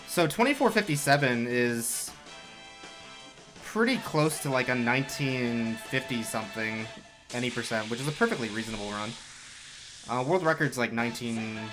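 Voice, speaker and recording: A man's voice: medium pitch, a bit bright. A man giving casual, rambling, self-mocking commentary while he plays through a speedrun live.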